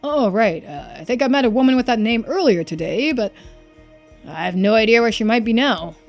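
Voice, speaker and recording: A woman's voice: fairly high pitch, lively intonation, a little fast, faint music behind. A woman voicing an informal, hesitant and wary male investigator in a video game. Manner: casual, hesitant phrasing. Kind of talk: video game character voice-over.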